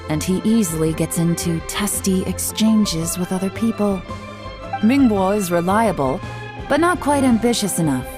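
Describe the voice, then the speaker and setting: A woman voicing a composed, authoritative woman leader in a game, speaking in a measured way. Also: on the low side, close to an everyday voice. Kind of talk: game dialogue. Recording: game audio with music behind.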